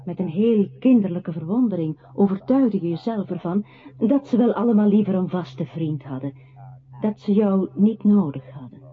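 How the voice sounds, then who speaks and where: An actress playing a solemn, quietly accusing narrator in a radio drama, with a husky voice and deliberate literary phrasing. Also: female voice, mid-range voice, expressive, close to an everyday voice. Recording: muffled phone-like old radio recording.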